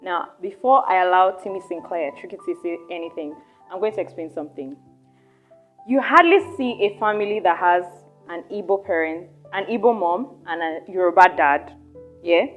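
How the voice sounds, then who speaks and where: A young woman from Nigeria hosting a casual podcast chat, animated and chatty, often trailing off and restarting her thoughts. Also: on the low side, moderate pace, narrow, tinny audio, faint music behind. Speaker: podcast host.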